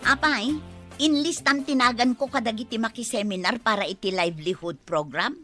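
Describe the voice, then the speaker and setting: A woman acting as an ambitious, easily annoyed village wife in a comedy radio drama, animated and sassy, with an Ilocano accent. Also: on the high side, normal speed, faint music behind. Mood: breezy, curious.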